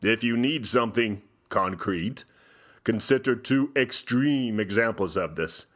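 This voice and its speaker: A man playing a pompous theatrical gentleman host, giving a mock-solemn dramatic reading with drawn-out pauses. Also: medium pitch, natural voice.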